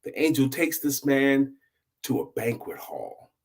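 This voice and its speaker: Middle-aged male storyteller, husky, widely swinging pitch, emphatic delivery with dramatic pauses. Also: sing-song. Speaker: storyteller host. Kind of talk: dramatic, deliberate oral storytelling with a moral.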